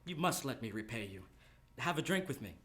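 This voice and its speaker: A man's voice: mid-range voice, sing-song, brisk, sharp tone. A man playing a charming, earnest star actor and philanthropist in a live radio drama, courteous in manner. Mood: unsettled, courteous. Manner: earnest, courteous, smooth-talking. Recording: noisy live studio stage recording.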